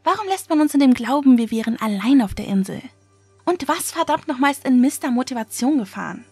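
A woman plays a wary, easily indignant young woman in a horror audio drama. Her voice is fairly high and breathy, with a heavy creak. It is recorded dry, with music underneath. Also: animated, brisk, a little mellow, dry recording, exaggerated voice. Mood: agitated, indignant. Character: wary, indignant young female survival-show contestant.

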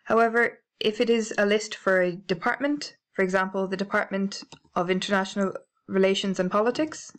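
A woman with a British accent narrating a library software tutorial, clear and methodical, spelling out codes letter by letter. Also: mid-range voice, fairly even intonation, a little fast. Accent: British English. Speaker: library staff trainer. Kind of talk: instructional screencast narration.

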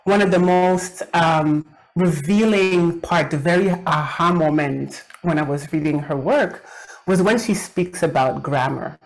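A woman's voice: deep voice, measured pace, rough recording. A middle-aged woman from Quebec whose first language is French, giving academic commentary on a book over a video call from a home office, thinking aloud with many fillers and restarts. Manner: thoughtful, with frequent fillers and restarts. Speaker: academic discussant. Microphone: video call webcam.

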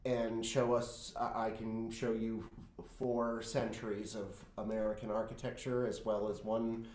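A man's voice: lowish voice, little pitch movement, measured pace, darkish tone, reverberant. A man in his forties hosting a museum talk in a historic house parlor, explaining with emphasis. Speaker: museum host. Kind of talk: panel conversation.

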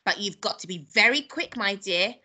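A woman's voice: mid-range voice, animated, moderate pace, sharp tone, slightly rough, exaggerated voice. A woman voicing a wicked, snappish little old grandmother in a storybook, bossy, scolding and relishing nasty things. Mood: gloating.

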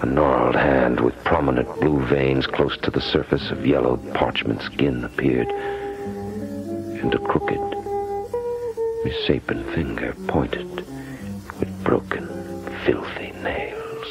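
A man's voice: extremely low pitch, huge pitch swings, gravelly, over music. A man narrating a horror radio drama in an ominous, dramatic, menacing manner. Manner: slow, dramatic, menacing delivery. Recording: muffled old radio broadcast recording.

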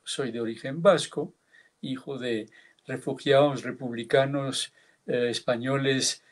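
A man in his seventies from France, giving a measured, reflective tribute speech over a video call, with small hesitations. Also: mid-range voice, fairly even intonation. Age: 70s. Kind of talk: formal reminiscence, partly read.

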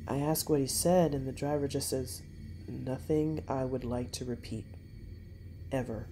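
Mellow narrator, mid-pitched voice, scripted first-person storytelling, measured phrasing, soft music underneath. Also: steady tone. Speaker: narrator reading a listener-submitted story.

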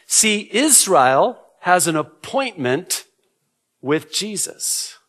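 A middle-aged man whose native language is Arabic teaches from a church lectern. His voice sits a little high for a man. He speaks very slowly, explaining each point in a measured way and restating key words. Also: sing-song, a bit bright. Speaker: pastor. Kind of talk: sermon, Bible prophecy teaching. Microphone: gooseneck lectern microphone.

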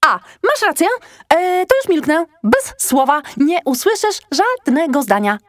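An excitable, mischievous young seal girl, chatters dramatically in a very high voice. Her delivery swings widely in pitch and is drawn out for effect, recorded close and dry. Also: unhurried, dry recording. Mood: playfully chatty. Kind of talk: audiobook character acting.

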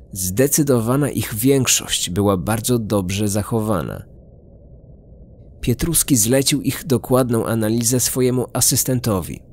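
A man narrating a scripted true-crime story as a podcast host. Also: medium pitch.

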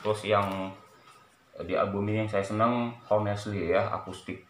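A middle-aged man chatting casually in a halting way, as a vlogger showing items in a small room at home. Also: lowish voice, fairly even intonation. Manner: halting, repeats short phrases with frequent "ya" tags. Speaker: vlogger showing a cassette collection. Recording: echoey room, clear background noise.

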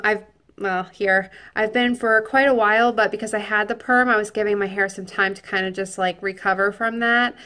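A woman's voice: mid-range voice, fairly bright tone. A young woman in her twenties chatting casually to a camera held at arm's length in her living room, bubbly and full of filler words. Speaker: beauty vlogger. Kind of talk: casual vlog chat to camera. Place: dim living room at home, indoors.

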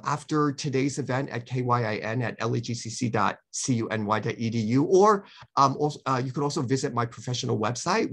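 Male lecturer, gravelly edge to the voice, polite and halting, presenting slides on a video call. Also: mid-range voice, a bit bright. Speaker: academic presenter.

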